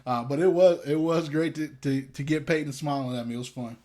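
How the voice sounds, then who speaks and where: A man's voice: a little high, fairly even intonation, brisk, dark tone. A young man co-hosting a podcast, talking casually in a rambling, hesitant way with self-deprecating jokes. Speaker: podcast co-host. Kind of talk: casual chat.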